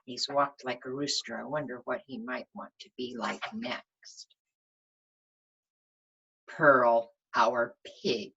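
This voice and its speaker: A woman reading a storybook aloud to young children as their teacher, expressive and simply phrased, pausing to ask them questions. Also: very deep voice, muffled recording.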